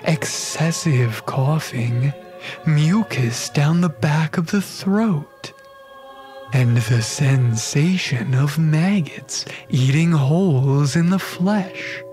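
A man playing a gleefully sinister radio show host in dark comedic audio theatre, theatrical and mock-soothing. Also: a little high, drawn-out words, soft background music. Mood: sinister glee.

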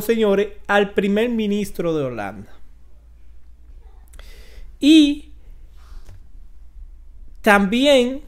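Slow-paced Dominican man commenting on news. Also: sits high. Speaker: online news commentator and host. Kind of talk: informal news commentary to camera. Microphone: close desk microphone.

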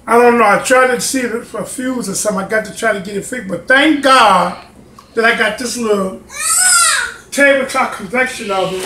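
A man's voice: very high voice, fairly bright tone. Middle-aged man, chatty home-kitchen cooking host, talks to his camera mic in a roomy kitchen. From Chicago, United States. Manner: chatty, rambling, encouraging, with lots of fillers. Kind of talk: casual cooking tutorial. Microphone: camera mic, filming himself. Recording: echoey kitchen with faint noise.

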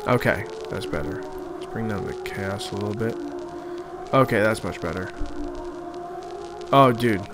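A man giving casual, halting commentary as he thinks aloud over a screen recording, often trailing off. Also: fairly low pitch, loud music behind the voice. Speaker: host of an art-making video series.

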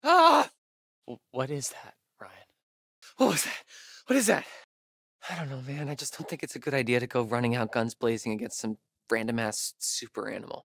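A man's voice: mid-range voice, lively intonation, normal speed, creaky, a bit bright, breathy edge, no room sound, full character voice. Wry, nervy young American guy, very dry recording. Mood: alarmed. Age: young adult. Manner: hesitant, stammering, wry. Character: sarcastic, nervy young male camp counselor. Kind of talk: naturalistic video game acting.

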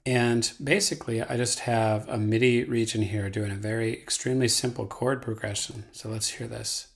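A man giving a casual software tutorial as the trainer, talking in a folksy, playful way. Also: mid-range voice, steady tone, moderate pace, gravelly.

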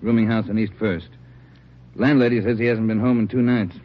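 An actor playing an authoritative American police chief in an old radio drama, talking in clipped phrases on a muffled recording. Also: male voice, fairly low pitch, steady tone, fairly quick, close to an everyday voice. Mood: grim, authoritative. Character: gruff, commanding male police chief. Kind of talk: 1950s radio drama acting.

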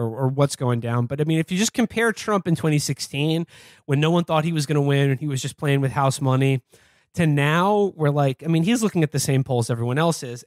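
A man's voice: a little high, no room sound, clean studio sound. An American man co-hosting a casual political comedy podcast, sardonic and profane in his talk. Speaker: podcast co-host.

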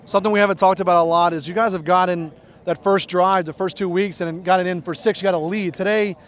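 A man's voice: high voice, steady tone, fast talker. A young man, a sports broadcaster, leading a postgame sideline interview outdoors with a handheld mic, in upbeat play-by-play phrasing. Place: outdoors on a football field.

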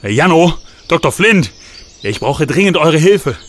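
A man's voice: a little high, a little hiss or hum. A man voicing an old talking storybook that narrates a children's radio play. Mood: queasy. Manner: slow, expressive storytelling. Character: old talking fairy-tale book, narrating and groaning.

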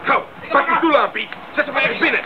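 An actor playing a practical young missionary and radio operator in a radio drama. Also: male voice, extremely high pitch, quick pace. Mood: urgent, shouting. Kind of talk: 1980s Dutch radio play acting. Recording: dull old radio drama, background noise.

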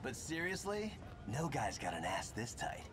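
A man's voice: medium pitch, wildly swooping pitch, moderate pace, very noisy. An actor playing a brash, crude, teasing young male soldier in a game. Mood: cocky. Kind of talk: video game voice acting. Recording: heavy background music.

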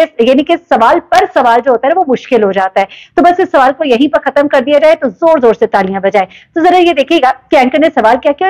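A woman, commenting to camera in a vlog with animated, ironic storytelling. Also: high voice. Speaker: vlogger. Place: living room indoors.

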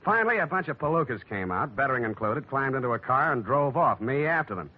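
An actor playing a wry, unflappable hard-boiled private detective, deadpan and wisecracking, in 1940s radio style. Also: male voice, medium pitch, fast. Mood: wry narration. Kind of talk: 1940s radio acting with first-person narration. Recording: dull vintage radio recording.

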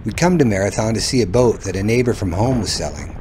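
A man's voice: on the low side, expressive, quick pace, noisy background. A middle-aged man reading scripted travel narration as the host of a vlog. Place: voice-over with music behind.

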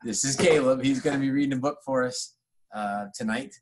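A man's voice: mid-range voice, a little fast, slight background noise. A middle-aged man hosting a children's bedtime story show, talking casually to his viewers. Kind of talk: casual friendly talk to viewers.

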